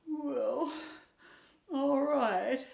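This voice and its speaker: A woman voicing a fretful old mother sow who pleads in a high, quavering, tearful voice. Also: very slow, vocal fry, darkish tone, echoey room, full character voice.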